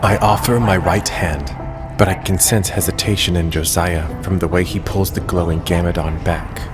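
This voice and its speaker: An actor plays a bitter young nobleman with a deep, low voice, telling his memory in grim first-person narration. A musical score plays under his voice in this audio drama. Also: steady tone, normal speed, music in the background, natural voice. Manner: grim, seething inner narration. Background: orchestral score under the voice.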